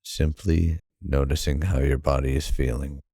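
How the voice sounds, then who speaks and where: A man narrating a guided sleep hypnosis in a calm, soothing, unhurried manner with long pauses. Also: a bass voice, breathy edge, no room sound, studio quality.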